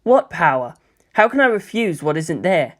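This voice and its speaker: A man's voice: high-pitched, very animated, moderate pace. An earnest teenage boy in a sci-fi audiobook, voiced by a man, speaks with careful politeness. His wording is precise and technical, like a serious young student. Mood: brooding.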